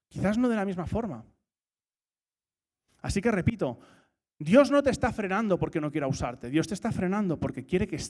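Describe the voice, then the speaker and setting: High-voiced man preaching. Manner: lively, rhetorical questions, repeats key points. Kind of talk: sermon. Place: church.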